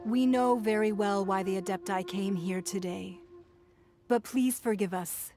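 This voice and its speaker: Woman playing a calm shrewd lady leader, formal and composed, soft music under. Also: mid-range voice, unhurried, close to an everyday voice. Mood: diplomatic. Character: poised, shrewd female city leader. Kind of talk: video game voice acting.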